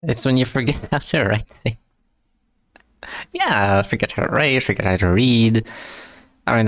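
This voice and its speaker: A man's voice: fairly low pitch, no room sound. A man streaming live, chatting casually with viewers in English, which is not his first language, often stumbling and repeating words and joking at his own expense. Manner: stumbles and repeats words, answers chat with joking self-mockery.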